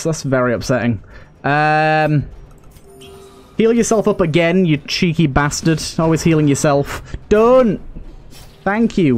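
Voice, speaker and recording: A man plays and commentates a video game in a casual, conversational way. His voice sits on the higher side and moves widely in pitch as he talks to his characters, with game music underneath. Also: darkish tone. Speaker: gaming video host and player. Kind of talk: casual let's play commentary.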